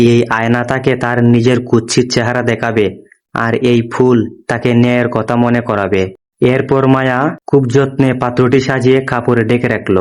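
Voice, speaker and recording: Clear, even-toned male story narrator. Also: medium pitch.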